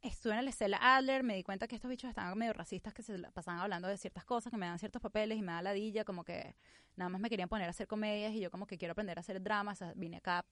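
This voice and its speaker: Fast-talking Venezuelan woman. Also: mid-range voice, dry recording, studio quality. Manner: animated, mixes English phrases, quick storytelling. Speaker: guest, actress and comedian. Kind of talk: casual interview chat.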